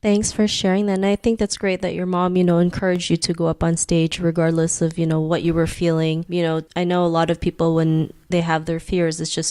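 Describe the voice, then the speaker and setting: A woman's voice: fairly low pitch, darkish tone, clear, resonant tone, no echo, studio quality. A woman hosting a podcast interview, chatty and encouraging with lots of "you know.". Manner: encouraging, chatty, many fillers. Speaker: podcast host. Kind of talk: interview questions, casual chat.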